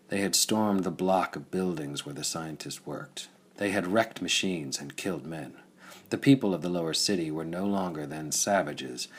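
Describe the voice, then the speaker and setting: A man narrating a pulp science fiction story as a dramatic audiobook, with a husky voice. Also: sits low.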